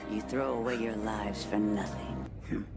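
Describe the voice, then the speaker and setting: A woman's voice: extremely low pitch, fairly even intonation, measured pace, gravelly, full character voice. A woman voicing a cruel, youthful, androgynous shapeshifting monster in an anime dub, sneering and playfully taunting. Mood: sneering, contemptuous. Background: loud music.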